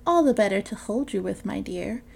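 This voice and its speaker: A woman's voice: mid-range voice, expressive, brisk, creaky, darkish tone. A woman voicing a cunning, hungry male wolf who coaxes and disguises his voice. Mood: sly. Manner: sly, coaxing, disguising his voice.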